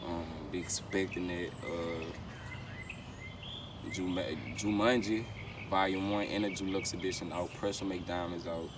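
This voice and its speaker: A man's voice: sits low, fairly even intonation, a little slow. A man with a Louisiana accent chatting casually and slangily in an interview, often repeating his phrases. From Baton Rouge, Louisiana. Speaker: R&B singer and rapper being interviewed. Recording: distant mic, loud outdoor street noise.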